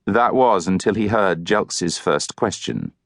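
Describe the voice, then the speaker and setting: A man narrates literary fiction in a low voice with a touch of gravel. He reads scripted prose as an audiobook narrator, recorded close and dry. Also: lively intonation, unhurried, no echo.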